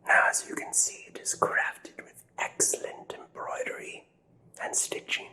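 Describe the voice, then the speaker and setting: A man's voice: a little slow, bright, forceful tone. A man playing a nervous, courteous doctor in a whispered ASMR mystery roleplay, speaking in formal, hesitant phrases. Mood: earnest, curious. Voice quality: breathy whisper. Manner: formal, hesitant, old-fashioned polite phrasing. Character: nervous, courteous, perplexed doctor aiding a murder investigation.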